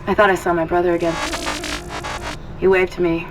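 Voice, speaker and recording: A woman's voice: fairly low pitch, little pitch movement, fairly quick, over music, natural voice. A woman playing a focused, businesslike ship technician in a video game, speaking over a noisy comms channel. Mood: weary, hopeful. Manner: businesslike, urgent, natural. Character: focused female technician guiding a crewmate by comms. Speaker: game character, crew technician. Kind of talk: video game dramatic acting.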